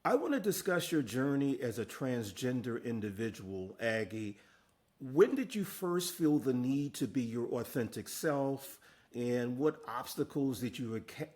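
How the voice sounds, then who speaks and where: A man's voice: medium pitch, animated, unhurried, darkish tone. A man hosting an interview, upbeat and encouraging as he introduces topics and asks questions. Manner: upbeat and encouraging, laughs often. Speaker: interview host.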